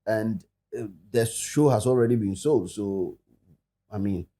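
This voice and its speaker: A man's voice: on the low side, a little slow, mellow. A man with a Ghanaian accent chatting casually as a panelist on a talk show, switching between English and local speech. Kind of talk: casual chat mixing English and Twi.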